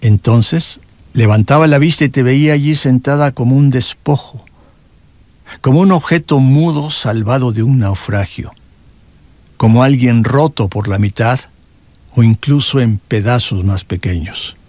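Man reading literary prose, measured and dry. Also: medium pitch, darkish tone, no echo. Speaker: radio reader and host.

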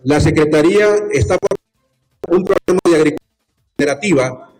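Minister guy answering press questions, slightly high voice, formal. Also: a little mellow, faint music behind, poor audio. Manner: deliberate, formal explaining. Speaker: government minister.

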